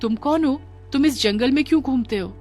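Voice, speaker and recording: Brave cartoon girl, played by a woman: compassionate, earnest, gentle, light music underneath. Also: high voice, brisk, voice pushed up, close to an everyday voice. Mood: curious, concerned. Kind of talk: children's cartoon story dialogue.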